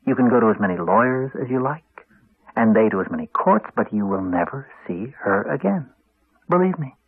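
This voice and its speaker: An actor playing a cold, contemptuous husband with a Canadian accent, speaking in a deliberate, cutting, condescending way in a radio drama. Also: male voice, fairly low pitch, lively intonation, no room sound. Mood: threatening, smug. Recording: dull, band-limited 1980s radio recording.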